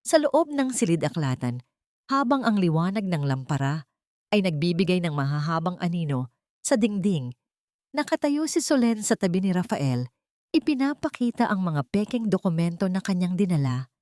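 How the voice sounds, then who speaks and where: Woman, storyteller, slightly low pitch, dramatic scripted narration, dry close sound. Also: very animated, normal speed, darkish tone, dry recording.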